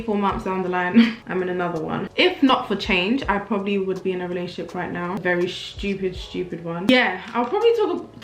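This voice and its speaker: A woman's voice: medium pitch, roomy sound. A woman in her twenties from Nigeria with a British accent, chatting candidly while she gets ready at her mirror. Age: 20s. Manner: chatty, candid, self-mocking asides. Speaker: vlogger. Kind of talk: casual get-ready-with-me chat. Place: home room, getting ready at a mirror.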